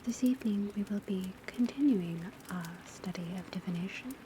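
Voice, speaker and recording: A woman playing a composed, formal witch school teacher, lecturing in a soft, breathy, often whispery ASMR style with measured, precise delivery. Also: mid-range voice, lightly acted. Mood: calm, instructive. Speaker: ASMR roleplay performer. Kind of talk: ASMR classroom roleplay lecture. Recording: steady rain and crackling fire noise. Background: rain on roof, crackling fireplace, pencil writing.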